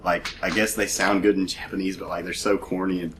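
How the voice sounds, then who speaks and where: Very low-voiced young man gaming. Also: noisy background. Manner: relaxed, rambling opinions with pauses to recall names. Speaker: let's play commentator. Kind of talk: casual gaming chat.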